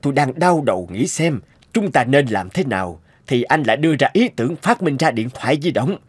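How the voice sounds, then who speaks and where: A man playing a kindly older company chairman in a cartoon, measured and encouraging. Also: mid-range voice, sing-song, husky, no room sound. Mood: admiring. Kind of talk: Vietnamese cartoon dub acting. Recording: music under the dialogue.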